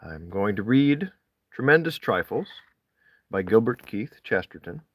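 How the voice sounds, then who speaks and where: A man reading classic literary prose aloud, deliberately, with dramatic rises and falls in his phrasing. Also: on the low side, sing-song, husky, dry recording. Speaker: audiobook-style reader of classic essays. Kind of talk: literary read-aloud, expressive scripted narration.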